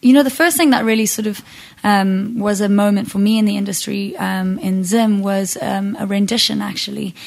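A woman's voice: mid-range voice, fairly even intonation, moderate pace, gravelly. A young woman from Zimbabwe, a singer-songwriter, talking casually in an interview, thoughtful and full of ums and restarts.

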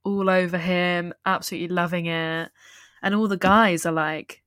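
Woman podcast co-host, lower voice, dry close mic, lively chatty recaps. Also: normal speed, no echo, faint music behind. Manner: animated retelling with laughs. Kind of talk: casual film discussion chat.

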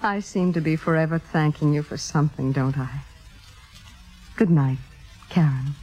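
An actress playing a gushing, humble young would-be actress in a 1950s radio drama, hesitant and earnest. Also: female voice, sits low, moderate pace, mellow, highs cut off, faint music behind, close to an everyday voice. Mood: shyly eager. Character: demure, gushing young woman, an aspiring actress.

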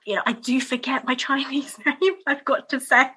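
A woman guest on a podcast speaking reflectively, with soft laughs. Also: sits high, steady tone, moderate pace, slightly rough. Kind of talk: podcast conversation.

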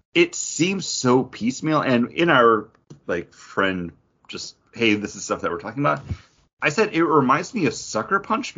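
Male podcast host, raspy and conversational, slightly dull recording, casual geek talk. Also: on the low side, fairly bright tone. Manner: opinionated, conversational. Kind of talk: casual geek-news discussion.

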